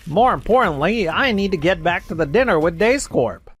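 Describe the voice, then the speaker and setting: A man's voice: high-pitched, animated, normal speed, a bit bright, slightly rough, exaggerated voice. A man voicing an arrogant, careless male manager in a comic dub, blustering and dismissive. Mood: blustering, defensive. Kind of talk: scripted manga dub voice acting.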